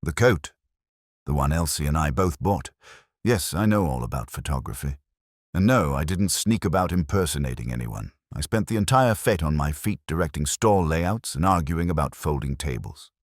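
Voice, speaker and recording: A man voicing a brisk, defensive village woman in a mystery story, snappy and indignant. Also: extremely low pitch, lively intonation, no room sound, clean studio sound.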